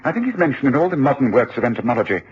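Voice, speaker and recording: An actor playing a cool, incisive gentleman detective in a radio drama, with a Received Pronunciation accent and a clipped, dry, assured manner. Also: mid-range voice, big pitch swings, very fast, slightly rough. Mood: dryly interested. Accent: British Received Pronunciation. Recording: dull, faintly noisy old radio recording.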